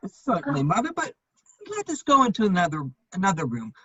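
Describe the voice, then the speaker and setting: Woman playing a flippant gentleman bachelor, slightly husky, echoey. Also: on the low side, wildly swooping pitch, fairly quick, heavily acted. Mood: placating. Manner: arch, drily polite. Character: flippant, idle, witty gentleman bachelor in his thirties. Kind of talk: theatrical dramatic reading of period comedy. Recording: dull, echoey, lo-fi room sound.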